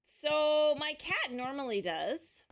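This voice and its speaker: A woman from the Pacific Northwest chatting casually while streaming a game, unhurried and rambling with frequent ums. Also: high-pitched, big pitch swings. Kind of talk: casual chat while gaming.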